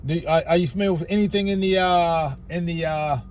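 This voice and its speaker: A man interviewing a guest, asking halting questions with short acknowledgements. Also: a little high, steady tone.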